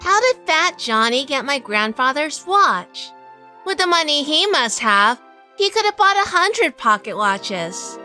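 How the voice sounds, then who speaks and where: A shrewd noir heroine, played by a woman, speaks in a bright, highish voice. She is probing and suspicious, narrating a radio play over soft music in a dry recording. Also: full character voice. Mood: suspicious, brooding. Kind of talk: noir audio drama narration and dialogue.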